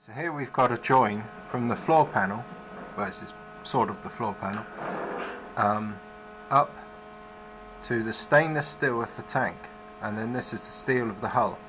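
A man's voice: medium pitch, measured pace, roomy sound, recorded from a distance, background noise. A man in his thirties with the flu, casually explaining his boat build while working in a cold garage workshop. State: has the flu.

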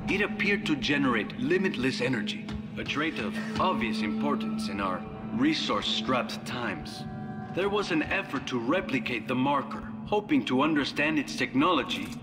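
A male actor plays a formal scientist in a video game, reading out a measured briefing. His voice sits at an ordinary male pitch. Loud music plays over him. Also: close to an everyday voice. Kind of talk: scripted briefing narration.

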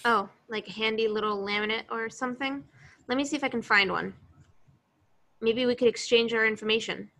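A woman's voice: a little high, a little fast, a bit bright. A woman playing a blunt, guarded young action heroine in a table read over a video call. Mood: dry, conversational.

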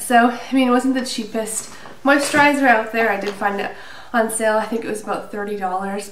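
A woman in her thirties with a stuffy, nasal cold, chatting casually to camera about beauty products, a little out of breath and using fillers. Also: on the high side. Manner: chatty, with fillers and small laughs. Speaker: beauty vlogger. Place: small indoor room, plain wall. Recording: roomy, slightly distant with faint hiss.

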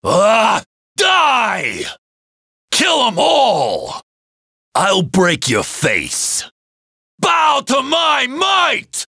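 A ruthless, ambitious brute of a video game captain. His voice is husky and gravelly, swinging hugely in pitch. He drags his words out with menacing swagger. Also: wildly swooping pitch, sharp tone, dry recording, raised voice, clean studio sound, heavily acted. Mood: battle rage. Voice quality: gravelly, rough. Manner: menacing, swaggering, slow delivery. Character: ambitious brutish axe-wielding male captain, bullying and ruthless. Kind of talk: video game character voice clips.

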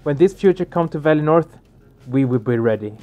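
A man's voice: a little high, animated, a little fast, darkish tone, a little hiss or hum. A man hosting a game let's play, expressively reading the story aloud and reacting to it.

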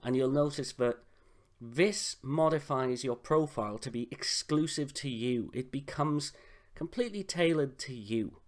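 Slightly hoarse man giving a tutorial. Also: medium pitch. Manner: relaxed, conversational, frequent "uh" fillers. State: slightly hoarse from a sore throat. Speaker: software tutor. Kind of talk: screen-recorded tutorial. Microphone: voice-over on a screen recording.

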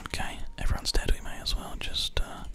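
A gamer whispers a calm, unhurried running commentary in ASMR style. The voice is breathy and unvoiced, very close on a binaural mic that moves from ear to ear. Keyboard typing and mouse clicks sit behind it. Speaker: host and gamer narrating play. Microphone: close binaural microphone, ear to ear.

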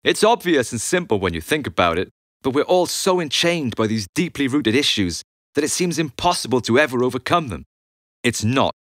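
A man's voice: mid-range voice, sing-song, fairly quick, fairly bright tone, dry recording, clean studio sound. A man narrating his own audiobook memoir in a conversational, blunt and emphatic way. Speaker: author and musician reading own audiobook.

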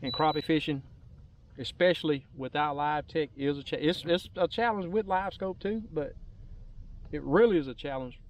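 A middle-aged man giving casual, unhurried, rambling fishing commentary in a folksy way, outdoors on a boat. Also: a little high, muffled recording, a little hiss or hum. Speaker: angler hosting a fishing vlog. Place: open boat on a lake, outdoors.

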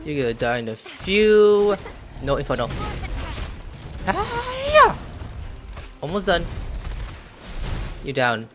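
Young male streamer, high lively voice, slow-paced excitable play-by-play. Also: over music. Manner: excitable, swears and shouts at enemies. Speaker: gaming streamer. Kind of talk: casual gameplay commentary.

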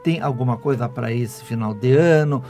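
A man's voice: medium pitch, moderate pace. A man, hosting a conversational radio interview. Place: small foam-padded radio studio. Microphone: studio microphone. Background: clear music.

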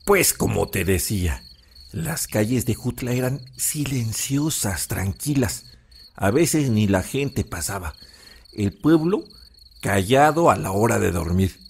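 An actor playing a gentle old Mexican village grandfather who soothingly tells ghost legends in an audio drama. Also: on the low side, expressive, slow pace, gravelly, a little hiss or hum, full character voice. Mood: nostalgic storytelling. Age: older.

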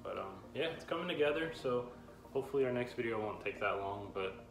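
A man's voice: medium pitch, expressive, a little fast, low-quality recording. A young man co-hosting a van build vlog, explaining his work in a plain, practical way. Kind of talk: casual explaining and chat. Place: bus interior under construction.

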